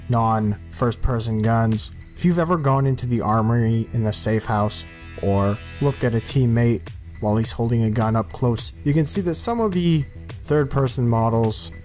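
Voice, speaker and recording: A man with a mid-pitched voice hosts a gaming video in a casual, informal style. His commentary rambles along loosely like an offhand walkthrough. Music plays clearly behind him. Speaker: video host presenting game mods.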